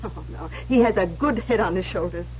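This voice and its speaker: A woman's voice: on the low side, a little fast, natural voice. An actress playing an anxious, conciliatory middle-aged mother and farm wife in an old radio drama, fretful and soothing. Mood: coaxing. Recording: muffled, faintly noisy vintage radio recording.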